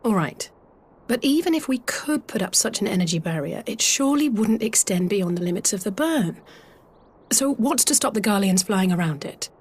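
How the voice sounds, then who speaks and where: An actress playing a practical young woman ally in a video game cutscene, with a husky, questioning delivery. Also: mid-range voice, lively intonation, moderate pace. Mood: doubtful.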